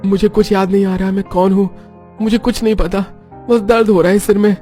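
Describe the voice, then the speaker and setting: A man's voice: high-pitched, little pitch movement, a little fast, dark tone, soft background music, pitched above the performer's own voice, close to an everyday voice. A man voicing an injured, confused young man with a pained, strained delivery in a dramatized moral story. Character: dazed, injured young man with lost memory.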